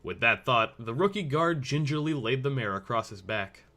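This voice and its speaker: A man reads a story aloud as narrator. His voice sits at an average male pitch, and the delivery is scripted narration. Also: expressive, normal speed, pushed and bright.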